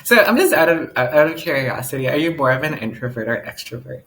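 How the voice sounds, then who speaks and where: Lively, giggly podcast host guy. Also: a little high, fast talker, low-quality recording. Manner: giggly, playful, self-mocking. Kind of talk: casual chat interview.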